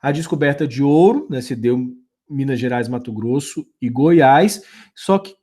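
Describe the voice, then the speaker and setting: A man's voice: medium pitch, expressive, a little slow, mellow. A man teaching a history lesson over slides, talking loosely with frequent fillers, restarts and check-ins with the class. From Brazil.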